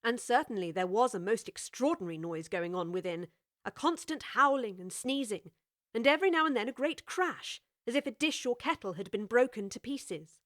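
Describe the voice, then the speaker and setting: A woman narrating a storybook with clear, expressive scripted storytelling. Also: fairly high pitch, normal speed, dry recording, studio quality.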